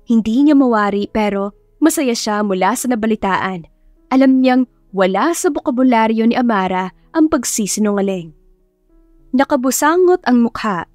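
A woman's voice: a little high, animated, normal speed, no echo. A woman with a Filipino accent reading a romance story as scripted, dramatic audiobook narration. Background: soft background music.

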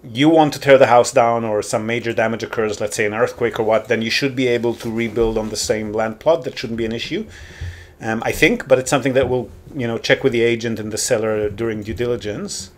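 Relaxed man host explaining things. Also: medium pitch, a little fast. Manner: relaxed, explanatory, frequent ums. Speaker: real estate consultant and podcast host. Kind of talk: casual consultation call.

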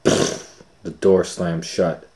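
A man reading a children's storybook aloud as a storytime host, with dramatic, drawn-out, expressive phrasing. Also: deep voice. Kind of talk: animated storybook narration.

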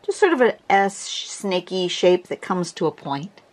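Woman, congested, lower voice, casual drawing lesson, clears her throat. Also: big pitch swings, normal speed. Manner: chatty, restarts a lot, clears her throat. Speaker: drawing teacher. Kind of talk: casual tutorial narration. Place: home desk, camera over the drawing surface.

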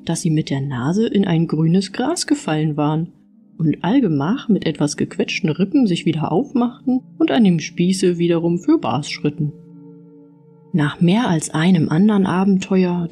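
Woman telling fairy tales with lively, swooping intonation. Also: lowish voice, breathy edge. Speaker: audiobook narrator. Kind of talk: expressive fairy-tale storytelling.

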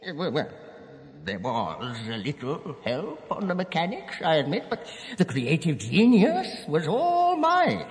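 A sinister, boastful uncle from an audio drama coaxes his listener theatrically. He draws his words out slowly with big swings of pitch. The voice rings in long reverb. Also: wildly swooping pitch. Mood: coaxing, gleeful. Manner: theatrical, coaxing, savouring words. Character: sinister grandfatherly storyteller, self-styled uncle, coaxing and boastful. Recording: long echoing reverb, slight hiss.

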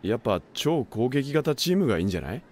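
A man acting a timid, soft-hearted big high school athlete in an anime radio drama, with an anxious, protesting manner. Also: sing-song, fast talker, a little hiss or hum, lightly acted. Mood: flustered.

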